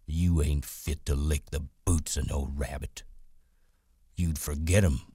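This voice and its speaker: Male narrator doing scornful giant rabbit; low, slow, drawling, contemptuous. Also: fairly even intonation, warm tone, breathy edge, no echo, clean studio sound, exaggerated voice.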